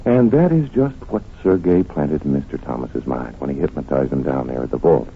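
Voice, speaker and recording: Deep-voiced, confident radio detective, muffled vintage sound. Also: male voice, animated, a little fast, gravelly, a little hiss or hum, exaggerated voice. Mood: confident explaining. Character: confident, analytical detective, teasing and affectionate with his girl. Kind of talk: old-time radio drama acting.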